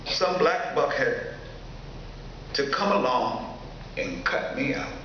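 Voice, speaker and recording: A man's voice: mid-range voice, expressive, slow, pushed and bright, pitched above the performer's own voice. A lovesick, hard-drinking rich boss acted by a man speaks emotionally in a raised voice during a story reading. It sounds muffled and very reverberant, as in a large theater. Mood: maudlin, boastful. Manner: pleading, emotional. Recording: echoey theater hall, muffled, faint noise.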